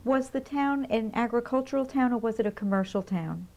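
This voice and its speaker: A woman conducting an oral history interview, asking methodical, measured questions. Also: a little high, darkish tone.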